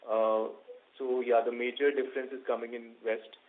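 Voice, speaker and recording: A man with an Indian accent, a company executive answering questions on an earnings call in halting, measured corporate phrasing. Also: mid-range voice, little pitch movement. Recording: narrow tinny conference phone line.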